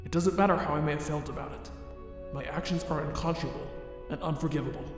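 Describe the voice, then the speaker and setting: A man playing a remorseful, self-loathing monster scientist in a comic dub, speaking in a formal, deliberate way. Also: a little high, natural voice. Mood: regretful. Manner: formal, deliberate, grave wording. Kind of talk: dramatic fan comic dub. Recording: very echoey long reverb under music.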